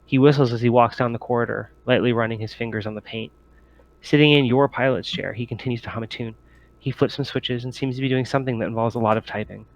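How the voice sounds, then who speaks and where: Guy running a sci-fi tabletop game, mid-pitched, descriptive, slightly muffled online mic. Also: steady tone, quick pace. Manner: descriptive storytelling, improvised. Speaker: game master.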